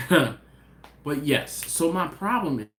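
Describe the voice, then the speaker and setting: A man's voice: a little high, big pitch swings, drawn-out words, fairly bright tone. Chatty man in an echoey room. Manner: rambling, talks to viewers directly, restarts often. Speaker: barbecue channel host. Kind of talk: casual live-stream chat, reading viewer comments. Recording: roomy echo, faint ambient noise.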